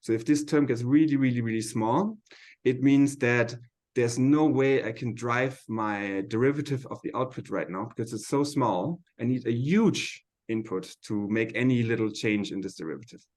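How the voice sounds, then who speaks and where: Male seminar lecturer, mid-pitched, informal and halting, thinking aloud through technical explanations. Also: darkish tone.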